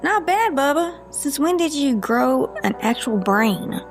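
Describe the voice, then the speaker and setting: A wry, guarded female agent played by a woman in an audio drama. Her voice sits on the high side with a lot of fry in it, and she talks in a folksy, deadpan, teasing way. Music plays behind her. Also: a little slow, full character voice.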